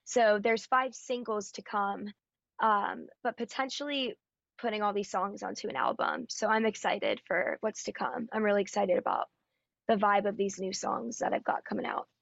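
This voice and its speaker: A raspy-voiced woman talks in an easy, upbeat way, dotted with ums and likes. The sound is dull and dry, with no room around it. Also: mid-range voice, fairly even intonation, normal speed, a bit bright, no room sound. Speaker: guest singer-songwriter. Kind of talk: casual interview chat. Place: Nashville area.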